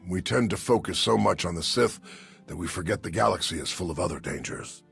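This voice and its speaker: A somber, battle-worn leader from a sci-fi game. The voice is deep and extremely raspy, with music beneath it. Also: male voice, a little fast, a bit bright, full character voice. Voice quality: gravelly, raspy. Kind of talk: game cutscene acting. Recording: background music under the voice.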